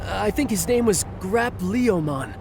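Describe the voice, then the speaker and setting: An actress playing a rattled, gossipy monster spectator in a video game, recounting things conversationally. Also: female voice, medium pitch, expressive, measured pace, background noise. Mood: puzzled. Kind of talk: video game cutscene acting.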